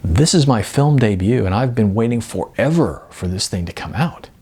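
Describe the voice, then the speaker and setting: A man's voice: on the low side, animated, moderate pace, dark tone, husky. A man voicing an eager, unsure young husband who stammers in an audiobook. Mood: hesitant. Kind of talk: audiobook character voice.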